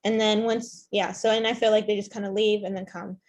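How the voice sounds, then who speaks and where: A woman's voice: medium pitch, little pitch movement, a little fast. Earnest young woman from Ethiopia. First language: not English. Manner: earnest, many fillers and restarts. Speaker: panelist with foster care experience. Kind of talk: panel discussion.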